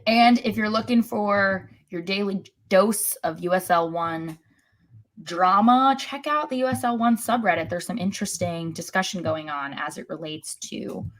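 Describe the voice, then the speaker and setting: A woman's voice: mid-range voice, moderate pace. A woman co-hosting a casual sports podcast, talking like a wry, resigned fan. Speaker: podcast co-host.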